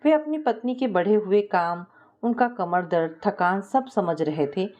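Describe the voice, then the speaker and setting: Woman narrator, softly mellow voice. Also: mid-range voice, animated, a little slow. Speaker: storyteller. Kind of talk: scripted story narration, acting out dialogue.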